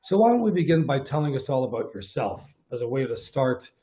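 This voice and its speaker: A middle-aged man from Canada hosting a theatre interview, measured and earnest, a little halting when he talks off script. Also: mid-range voice, sing-song, dark tone. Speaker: artistic producer and host. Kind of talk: formal introduction then conversational interview. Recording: dull lo-fi audio with faint music.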